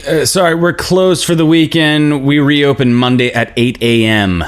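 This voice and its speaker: A man playing a smug, officious financial aid advisor in his thirties, talking like an unhurried bureaucrat at a script table read. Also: fairly high pitch, smooth, lightly acted. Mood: dismissive.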